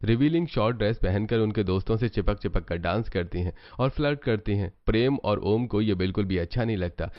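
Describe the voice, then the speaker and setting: A man's voice: on the low side, fairly quick, few pauses, muffled recording. A man reading scripted storybook narration. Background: background music.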